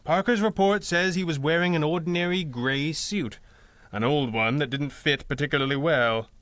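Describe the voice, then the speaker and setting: Man acting crisp deadpan masked hero. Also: fairly high pitch, normal speed, no echo, natural voice. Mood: dry, measured. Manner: deadpan, precise. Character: composed, dry-witted male masked hero. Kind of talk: 1930s-style radio drama acting.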